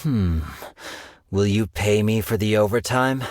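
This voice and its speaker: An actor playing a sly young male stockbroker in a game, polite and businesslike with a quiet menace. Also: fairly low pitch, a little slow, no echo, clean studio sound, close to an everyday voice. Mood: suave. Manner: suave, polite yet menacing, business jargon. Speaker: game character voice lines. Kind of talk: studio game voice acting. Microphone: close studio mic.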